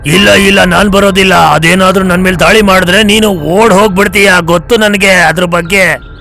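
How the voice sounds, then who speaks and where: Cowardly cartoon lion king, gravelly and anxious. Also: high-pitched, fairly even intonation, moderate pace, pushed and bright, soft background music, heavily acted. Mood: panicky refusal. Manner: anxious, protesting. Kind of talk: children's cartoon dub.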